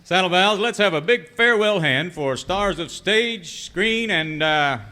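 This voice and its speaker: A man's voice: sits high, lively intonation, unhurried, bright, forceful tone, heavily acted. A man playing a folksy, accommodating and slightly hesitant announcer on a live Western comedy radio show. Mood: folksy, obliging. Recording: clear ambient noise behind the voice.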